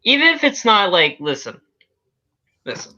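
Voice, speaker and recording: A man's voice: high-pitched, very animated, moderate pace, bright, forceful tone. A man chatting casually as a guest on a fan podcast, over a lo-fi connection.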